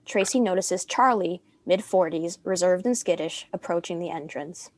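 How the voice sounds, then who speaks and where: A woman narrates a screenplay, reading out stage directions. Her voice is on the low side, and the recording is dry with almost no room sound. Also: moderate pace, no echo. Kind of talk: scripted narration.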